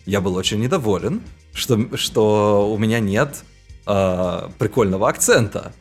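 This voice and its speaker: A man's voice: lowish voice, animated, a little slow, soft background music. A young adult man hosting a podcast, chatting casually and explaining with animation. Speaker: podcast host. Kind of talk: casual chat.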